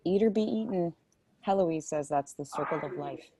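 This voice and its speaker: A woman's voice: on the low side, moderate pace, warm tone, close to an everyday voice. A woman playing a guarded, solemn thirteen-year-old girl, terse and matter-of-fact, in a drama script read. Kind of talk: dramatic table read of a web series script. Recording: noisy, distant mic with clicks.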